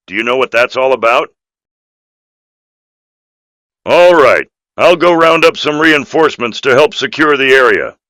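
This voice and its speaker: A man's voice: mid-range voice, lively intonation, moderate pace, fairly bright tone, no room sound, studio quality, natural voice. A man voicing an earnest, dutiful police officer in video game dialogue, firm and official in manner. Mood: grave.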